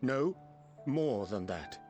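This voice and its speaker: An actor playing a solemn, gentle scholar in a fantasy video game, formal and halting. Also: male voice, mid-range voice, expressive. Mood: remorseful. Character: solemn, archaic-speaking mystic scholar, gentle and remorseful. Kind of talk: video game cutscene acting. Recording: faint music behind the voice.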